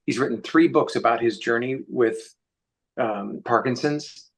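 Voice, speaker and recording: A man's voice: medium pitch, measured pace, vocal fry. A man speaking as an interview guest, giving reflective, conversational answers.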